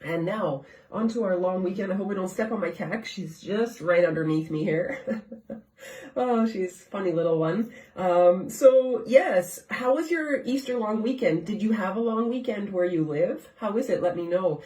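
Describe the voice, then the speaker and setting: A woman's voice: mid-range voice, normal speed, warm tone. Stuffed-up woman hosting a live chat. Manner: conversational, keeps asking viewers questions. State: stuffed up, nasal congestion. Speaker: host of a live nutrition show. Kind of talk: casual live-stream chat. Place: home kitchen. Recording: echoey room, far from the mic.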